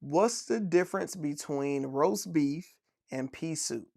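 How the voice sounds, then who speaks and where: Laughing gravelly man telling dad jokes. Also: a little high, slow pace, a little mellow, dry recording, clean studio sound. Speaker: podcast co-host. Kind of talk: casual podcast chat.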